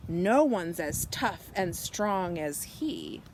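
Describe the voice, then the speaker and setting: A woman reading a picture book aloud to young children, expressive and animated, drawing out her words and softening for bedtime lines. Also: fairly low pitch, creaky, a little hiss or hum. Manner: slow, animated delivery with drawn-out words and hushed, whispered good nights. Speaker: children's storybook reader.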